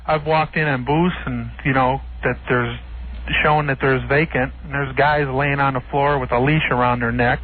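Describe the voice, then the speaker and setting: A man's voice: medium pitch, steady tone, moderate pace, slight background noise. A man chatting casually as a guest on a radio show, telling anecdotes. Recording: tinny phone-like radio audio.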